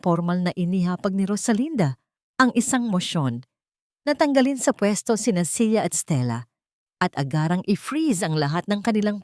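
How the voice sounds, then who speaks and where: A woman's voice: lowish voice, a little mellow, no echo, studio quality. A woman reading a scripted, dramatic story as its narrator.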